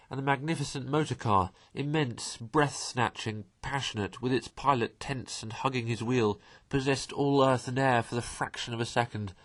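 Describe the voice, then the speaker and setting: A man reading a classic children's novel aloud in a measured, expressive way. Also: mid-range voice. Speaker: audiobook reader. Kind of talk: amateur storybook read-aloud.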